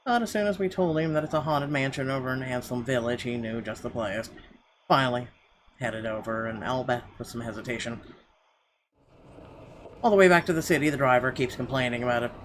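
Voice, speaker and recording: A man's voice: mid-range voice, fairly bright tone, a little hiss or hum. A man streaming a game, reading its narration aloud with the odd stumble and offhand aside. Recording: game music behind the voice.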